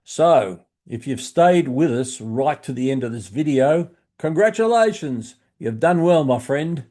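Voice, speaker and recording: An Australian man narrating a hobby explainer video in a measured, instructive way, addressing the listener in a friendly manner. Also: mid-range voice, expressive, mellow. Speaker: shortwave radio hobbyist presenter.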